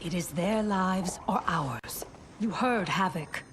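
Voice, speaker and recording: A woman's voice: on the low side, slow, music in the background. An actress playing a proud, devoted warrior woman in a dramatic video game. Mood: weary.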